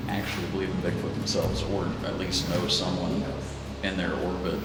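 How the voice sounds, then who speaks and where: A man working as a park naturalist, chatting casually and explaining things in halting, thoughtful phrases. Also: sits low, monotone, recorded from a distance. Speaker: park naturalist being interviewed. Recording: echoey room with loud music behind.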